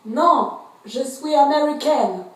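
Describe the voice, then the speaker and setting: A woman voicing a slow, careful student. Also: sits high. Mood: plain. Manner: slow, careful classroom-audio delivery. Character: young female students giving their nationality. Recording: echoey, distant textbook audio.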